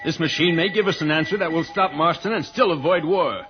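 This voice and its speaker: A man's voice: on the high side, a little fast, close to an everyday voice. An actor playing an assured, heroic spaceman in a vintage radio serial, speaking earnestly and with urgency. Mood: earnest, urgent. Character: earnest, calm heroic male spaceman guiding others. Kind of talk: 1950s radio serial acting. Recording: old muffled radio recording, music behind.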